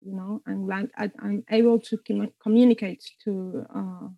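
A woman composer from Cuba answering interview questions thoughtfully, pausing often and searching for words. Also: mid-range voice, steady tone, creaky, no room sound. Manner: halting, searching for words, frequent hesitations. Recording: dull lo-fi dry sound.

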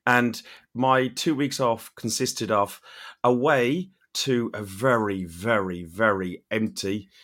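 A man with a slightly hoarse, lowish voice talks football in a casual fan chat. He jumps in eagerly with stammered restarts. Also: steady tone, slow pace, a bit bright. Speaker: fan panel guest.

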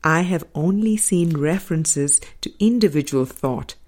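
Slow, mellow woman reading an audiobook. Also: deep voice. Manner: measured, deliberate phrasing with pauses between short phrases. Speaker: audiobook reader. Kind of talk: scripted spiritual audiobook narration.

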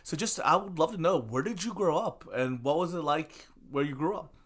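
A man's voice: fairly high pitch, animated, a little fast. A man hosting a church interview as pastor, asking questions and offering short encouraging affirmations. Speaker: pastor and host. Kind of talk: casual interview.

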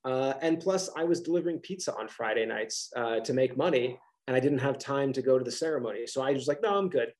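A man's voice: medium pitch, quick pace, hardly any pauses, a little mellow. A middle-aged man with a Philadelphia accent, a college professor answering interview questions casually on a video call, with many restarts and fillers.

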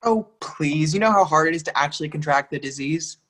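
Man playing chummy sports fan, oblivious, rapid delivery, play-reading banter. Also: fairly high pitch, fairly bright tone. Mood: breezy, dismissive.

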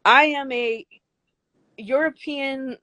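An older woman with a high, girlish voice, speaking bluntly and emphatically as an interview guest, swearing freely. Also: slow, bright, forceful tone, no room sound. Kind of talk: candid interview talk.

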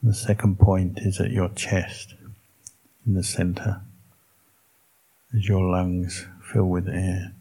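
A man with a low, dark voice leads a guided meditation as a monk would. His delivery is very slow and calm, broken into short phrases with long pauses between them. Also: breathy edge, rough recording. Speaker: Buddhist monk teaching meditation.

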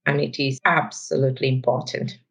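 A woman's voice: a bass voice, fairly even intonation, brisk, creaky. A woman in her forties with a Sri Lankan accent, a guest on a podcast, speaking thoughtfully and frankly with hesitant fillers. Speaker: technology executive, guest. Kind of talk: podcast interview.

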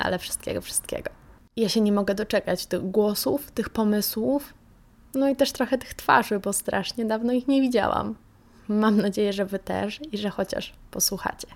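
A woman's voice: mid-range voice, creaky. A woman hosting a radio show, introducing the programme in an informal, chatty and personal way.

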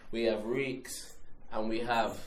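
A man, hosting a casual group chat with a slightly raspy voice and frequent playful questions. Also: lowish voice, fairly even intonation, takes it slowly, slight background noise. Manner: laughs often, playful questioning. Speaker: podcast host. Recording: echoey room.

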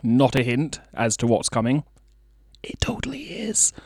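A man's voice: mid-range voice, big pitch swings, unhurried, breathy edge, no echo, clean studio sound. A young man presenting a radio show in a chatty, playfully theatrical way. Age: young adult. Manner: theatrical, playful showman delivery.

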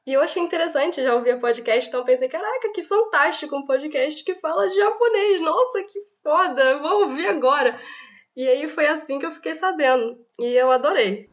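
A woman's voice: high voice, animated, a little fast. A young woman from Brazil, a student sharing a heartfelt testimonial. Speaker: listener and language student. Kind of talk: recorded testimonial. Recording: dull lo-fi audio.

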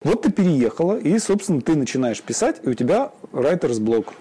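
A man's voice: fairly high pitch, very animated, fairly quick, noisy background. A man asking conversational questions as an interviewer.

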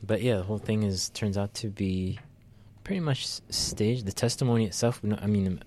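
A man with a low-pitched voice co-hosting a conversational show. He talks things through, stopping and restarting often with plenty of ums. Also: normal speed, a little mellow, slight background noise. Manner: thoughtful, many fillers and restarts. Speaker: podcast co-host. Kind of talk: casual political discussion.

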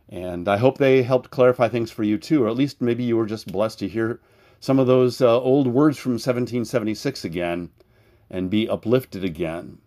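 A man giving a sermon as pastor, preaching in an earnest, measured way and reading scripture aloud. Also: on the low side, darkish tone.

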